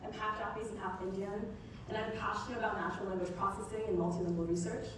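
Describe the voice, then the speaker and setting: A woman co-founder giving a rehearsed startup pitch to present a product. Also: steady tone, quick pace. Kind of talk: rehearsed demo-day pitch. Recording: echoey hall from far off, noisy.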